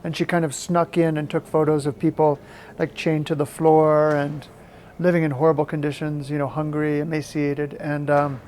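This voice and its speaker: Interviewee: American man in middle age, thoughtful and halting, fairly level melody, faint ambient noise behind. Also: fairly high pitch, little pitch movement, a little mellow. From Maine, United States. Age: middle-aged. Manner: halting, thoughtful, repeats words for emphasis. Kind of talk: reflective interview talk.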